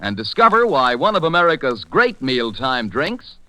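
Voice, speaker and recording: A man's voice: medium pitch, sing-song, normal speed, sharp tone, no echo, rough recording. A man announcing in a polished, emphatic 1940s radio style, reading scripted sponsor copy and introductions on a vintage broadcast.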